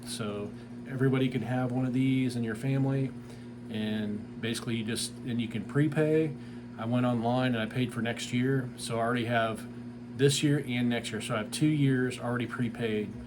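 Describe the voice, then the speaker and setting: A man with a mid-pitched voice talks through a product in an easy, informal review style. He is plain-spoken and unscripted, leaning on filler words as he explains. Clear ambient noise runs behind his voice. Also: steady tone, normal speed. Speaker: tree service business owner reviewing a product.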